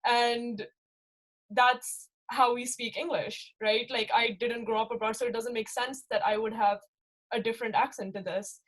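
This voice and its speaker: Young woman, slight pakistani accent, kinda high voice, thinking out loud with lots of ums and "right?". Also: little pitch movement, moderate pace, rough recording. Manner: reflective, with many fillers and rhetorical "right?". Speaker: interviewee. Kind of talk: casual personal account.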